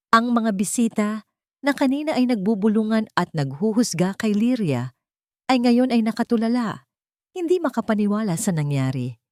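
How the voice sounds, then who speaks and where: A woman's voice: mid-range voice, a little mellow, no room sound, clean studio sound. A woman reading scripted, dramatic story narration as an audiobook narrator.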